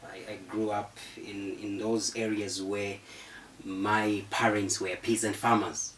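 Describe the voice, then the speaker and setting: A man's voice: on the low side, level tone, takes it slowly, fairly bright tone, distant mic, a little hiss or hum. A man in his twenties with a Zambian accent, telling his own story as a guest, repeating words and saying "you know". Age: 20s. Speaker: interview guest, leadership coach. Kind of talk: casual personal storytelling. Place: studio. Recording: echoey room, off-mic.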